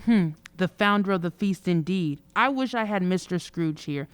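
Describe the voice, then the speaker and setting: A woman plays an indignant mother in an old-time radio drama. Her voice is smooth and on the low side, edged with sarcasm, and recorded very dry. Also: normal speed, dry recording, lightly acted. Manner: tart, sarcastic. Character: indignant, sarcastic wife and mother of poor family.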